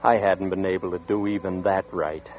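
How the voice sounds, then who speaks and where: A man's voice: deep voice, fairly even intonation, moderate pace, close to an everyday voice. An actor playing a weary, defeated man who narrates his own ordeal, in 1940s radio acting. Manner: weary, tense first-person narration. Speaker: narrator and lead character. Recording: tinny old radio broadcast with music.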